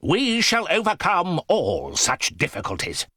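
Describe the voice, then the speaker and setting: A pompous excitable old professor in an audio drama, voice a bit husky, really swoopy. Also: male voice, high-pitched, a little slow, dry recording, clean studio sound, heavily acted. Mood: resolute. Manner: emphatic, declamatory, pompous. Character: excitable, commanding older professor uncle.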